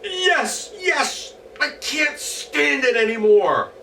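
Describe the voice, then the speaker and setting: A man voicing a greedy, whiny male goblin who wails in a shrill, screechy voice. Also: sing-song, slow pace, gravelly, bright, forceful tone, distant mic, a little hiss or hum, heavily acted. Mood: wailing. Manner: wailing, squealing cries.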